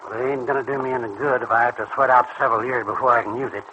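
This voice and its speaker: An actor in an old radio serial playing a wary, stubborn small-time hoodlum with blunt, uneducated slang. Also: male voice, mid-range voice, steady tone, rapid-fire, bright, forceful tone, close to an everyday voice. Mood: stubbornly refusing. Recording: dull old radio recording, faint noise.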